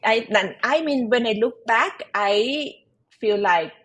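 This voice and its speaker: A woman's voice: on the high side, slow pace, fairly bright tone. A woman from Thailand with a Thai accent, a tax advisor who speaks Thai natively and tells her story in earnest, searching for words in her learned English as a podcast guest. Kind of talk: storytelling in an interview.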